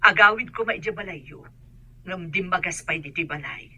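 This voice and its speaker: Piercing, husky, worried aunt. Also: female voice, lowish voice, normal speed, bright, forceful tone, no echo, dull audio, exaggerated voice. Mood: worried, subdued. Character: worried older aunt bearing family news. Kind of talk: radio drama acting.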